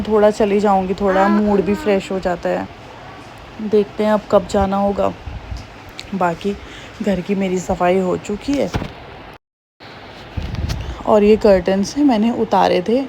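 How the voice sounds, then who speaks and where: Woman in her thirties, a bit hoarse, chatty and homely, casual daily vlog narration. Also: medium pitch, mellow, a little hiss or hum, poor audio. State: hoarse, slightly sore throat. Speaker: vlogger.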